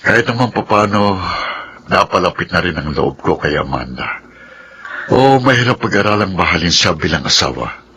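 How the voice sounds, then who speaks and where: A man's voice: sits low, sing-song, normal speed, pushed and bright, slight background noise, heavily acted. An actor playing a shrewd, guarded middle-aged father in a Filipino radio drama, with a Filipino accent. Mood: gentle, resigned. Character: shrewd, guarded stepfather, gentle with his son.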